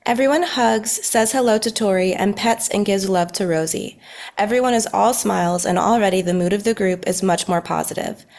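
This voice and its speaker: A woman's voice: mid-range voice, normal speed, a little mellow. A woman narrating scripted stage directions for a story read-through.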